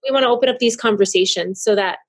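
A woman's voice: mid-range voice, quick pace. A woman hosting a podcast, in bubbly, giggly, rambling chat. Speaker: podcast host. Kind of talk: casual interview chat.

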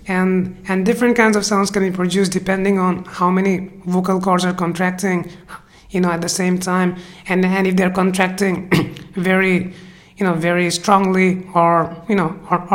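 A teacher with a cold gives an unscripted explanatory lecture, halting often, restarting phrases and leaning on "you know" fillers. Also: mid-range voice. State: has a cold.

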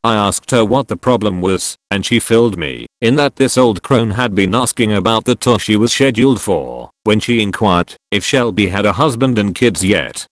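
A man narrating posts in an even, steady storytelling manner. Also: on the low side, normal speed, hardly any pauses, no echo. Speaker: narrator reading online posts. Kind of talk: scripted narration.

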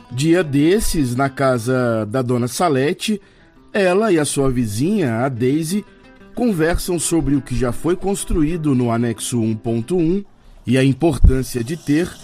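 A man's voice: medium pitch, a little mellow. A Brazilian man reading scripted narration as the narrator of a radio drama. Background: plucked guitar music.

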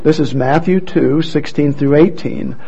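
A man introducing scripture readers at a church program, speaking in a relaxed way with light asides, on a muffled recording. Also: on the high side, a little slow, gravelly, slightly rough. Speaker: worship leader introducing readers. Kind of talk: church service emcee.

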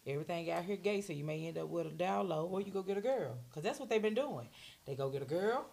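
A woman's voice: on the low side, expressive, fast talker, creaky, a little mellow. A woman arguing bluntly and with lots of swearing in a casual group talk. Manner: blunt, profane, talks over others.

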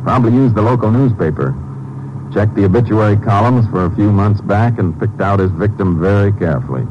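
An actor playing a calm, courteous, seasoned Ranger investigator, measured and matter-of-fact, in a radio crime drama. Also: male voice, fairly low pitch, lightly acted. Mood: knowing. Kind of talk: old-time radio crime drama acting. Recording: muffled, noisy vintage radio recording.

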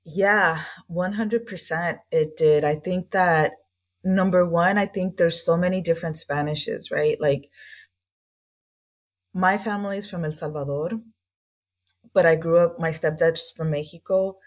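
A woman with a somewhat low voice sits as a podcast guest and talks through her own story. She speaks in a casual, earnest and reflective way, with loose and chatty phrasing. She grew up in East Los Angeles. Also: unhurried. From East Los Angeles, USA. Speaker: guest, language school founder.

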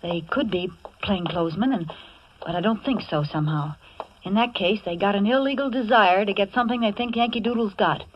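A woman's voice: medium pitch, fairly quick, husky, dry recording, highs cut off, close to an everyday voice. An actress playing a wisecracking, nervous young American working woman who narrates her own danger in slangy 1940s radio suspense style. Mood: uneasy, wry. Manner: slangy, hard-boiled, wry. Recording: noisy, band-limited old radio recording.

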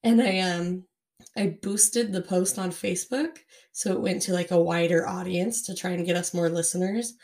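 Young american lady chatting on a podcast, lowish creaky voice getting over a cold, bit of music. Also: fairly even intonation, normal speed, darkish tone. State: recovering from a cold and lost voice. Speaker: podcast co-host. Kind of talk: casual chat.

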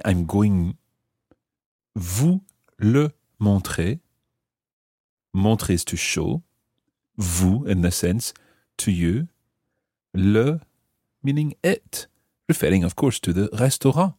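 A man hosting a language lesson, explaining example phrases piece by piece with careful, measured articulation. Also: deep voice, darkish tone, slightly rough, dry recording, studio quality. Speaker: language teacher and host.

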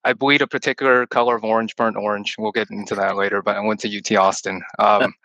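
A man's voice: fairly low pitch, little pitch movement, quick pace, sharp tone, no echo. A man from Texas, a podcast guest, chatting over a call in a long-winded, rambling way, with Chinese as his first language. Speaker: podcast guest, returned missionary. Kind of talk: casual chat over a call. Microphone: call-in line.

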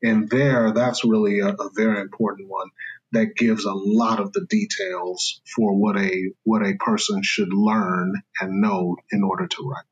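A man's voice: lowish voice, a little slow, breathy edge, highs cut off. A man speaking as a scholar guest in an interview, explaining carefully in a lecturing way with fillers and restarts.

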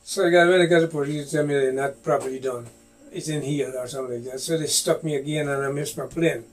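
An older man with a Guyanese accent and a slightly high voice. He tells stories from his youth in a relaxed chat with his son and laughs often as he talks. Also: fast talker. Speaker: guest, host's father. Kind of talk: storytelling in casual chat.

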